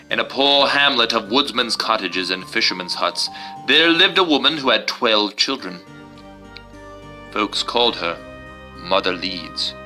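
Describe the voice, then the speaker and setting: A male storyteller with a very expressive, lively pitch and a clear forward brightness. He hosts casually and reads spooky tales with theatrical suspense, with faint music behind him. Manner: theatrical, suspenseful delivery when reading. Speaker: host and storyteller. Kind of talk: casual chat and dramatic storybook reading.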